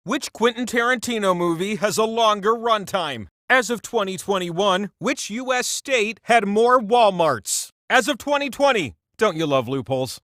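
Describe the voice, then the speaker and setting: An actor playing an excitable, quirky talking wheel that hosts a video game quiz, with a playful, mock-dramatic, showy delivery. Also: male voice, sits high, moderate pace, few pauses, no room sound, studio quality. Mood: playful asides. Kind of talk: theatrical game show question reading.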